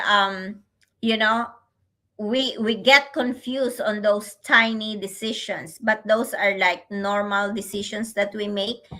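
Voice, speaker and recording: A woman's voice: medium pitch, bright, forceful tone, poor audio. A grandmother from the Philippines co-hosting a casual business livestream, laughing often and repeating phrases. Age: older. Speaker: online business mentor and livestream co-host.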